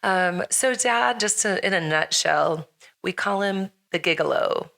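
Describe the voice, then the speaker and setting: A middle-aged woman with a slightly hoarse, raspy voice hosts a casual podcast chat, rambling with lots of fillers and frequent laughs. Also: lowish voice, a little slow, a bit bright. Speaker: podcast host.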